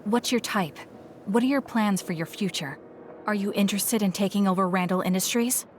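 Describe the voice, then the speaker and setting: A woman plays an aloof young female soldier in an anime game. Her voice is mid-pitched, curt and matter-of-fact. There is faint ambient noise behind it. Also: normal speed, natural voice. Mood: cool, probing. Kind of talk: English anime game voice acting.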